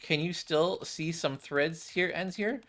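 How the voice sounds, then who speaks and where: A man voicing a poised, encouraging woman fashion designer from a game, polite and measured. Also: fairly high pitch, a bit bright, pitched above the performer's own voice. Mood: kindly, approving.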